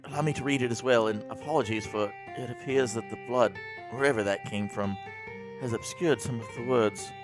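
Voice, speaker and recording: An actor playing a tormented, grief-stricken man descending into madness in an audio drama, distraught and halting, with formal wording. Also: mid-range voice, over music, close to an everyday voice, low-quality recording. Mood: brooding.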